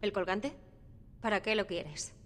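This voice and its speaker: A woman's voice: mid-range voice, measured pace, slight background noise, natural voice. An actress playing a determined, anxious young woman survivor in a video game, speaking urgently. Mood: wary, defiant. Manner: urgent, questioning. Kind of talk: dramatic video game acting.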